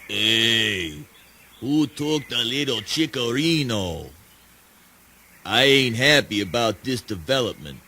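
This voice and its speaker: A man's voice: medium pitch, pushed and bright, a little hiss or hum, exaggerated voice. A man playing a swaggering, foul-mouthed gang boss in a spoken word play, talking in a slangy, taunting drawl. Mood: irritated, menacing.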